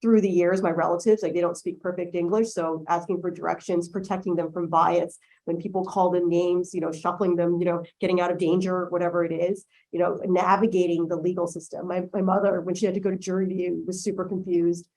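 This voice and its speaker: Gravelly low-voiced woman lawyer. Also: level tone, fairly quick, few pauses, warm tone, poor audio. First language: Chinese. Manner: chatty, tags points with "right?". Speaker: lawyer, panel guest. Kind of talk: conversational panel talk. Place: conference room, indoors.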